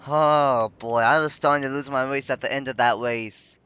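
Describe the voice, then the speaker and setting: A man's voice: medium pitch, fairly even intonation, moderate pace, sharp tone, clean tone. A man giving rambling, self-correcting play-by-play commentary on a simulated stock car race. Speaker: sim racing commentator.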